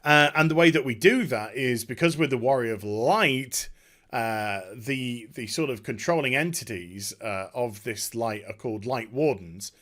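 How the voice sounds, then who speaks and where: Excitable man in an off-the-cuff ramble. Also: mid-range voice. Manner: excitable, emphatic, halting with repeated words. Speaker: video host giving a game story discussion. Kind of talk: unscripted casual rambling commentary.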